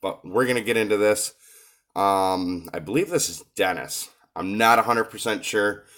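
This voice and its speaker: A low-voiced man coming down with a cold talks through his take on a rap track. He pauses often and fills the gaps with hesitant ums. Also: expressive, a bit bright. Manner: hesitant, many filler pauses. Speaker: reaction video host. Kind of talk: casual commentary on a rap song.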